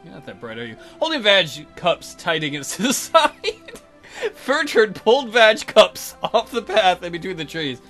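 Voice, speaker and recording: A man's voice: high-pitched, big pitch swings, a little slow, sharp tone, soft background music. A man hosting a let's play, reading visual novel text aloud and adding sarcastic, incredulous comic commentary.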